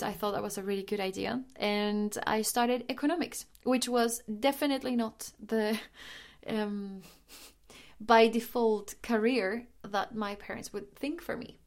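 Colombian-born woman telling her life story. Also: mid-range voice. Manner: chatty, frequent restarts and fillers, laughs while telling. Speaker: podcast host. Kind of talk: personal storytelling monologue.

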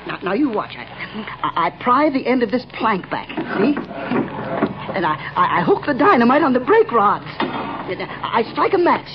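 A deranged night watchman in a vintage radio thriller. His voice sits very high and leaps about excitedly, stuttering and giggling as he repeats himself. The recording is muffled and old. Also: normal speed, background noise. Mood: gleeful, scheming. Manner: stammering, repetitive, giggling, excitable. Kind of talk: 1940s radio drama acting.

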